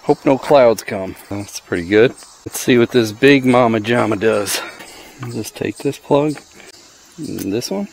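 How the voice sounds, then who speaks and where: A man's voice: mid-range voice, measured pace, vocal fry, slight background noise, low-quality recording. A middle-aged man casually reviewing gear outdoors in a driveway, thinking aloud and cracking dry jokes, recorded on a body-worn action camera. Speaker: product reviewer. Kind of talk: casual hands-on product review.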